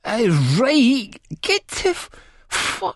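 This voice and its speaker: A man's voice: extremely high pitch, sing-song, slow pace, no echo, studio quality, pitched above the performer's own voice, heavily acted. A man playing a wasted, nervous young Scottish man in an audiobook, slurring, stammering and pleading. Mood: slurring, flustered.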